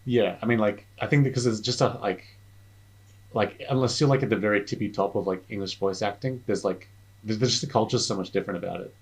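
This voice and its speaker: A man's voice: lowish voice, fast talker, breathy edge, low-quality recording. A young man chatting casually as a podcast guest, rambling with lots of filler words and restarts. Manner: rambling, many filler words and self-corrections. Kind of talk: casual chat.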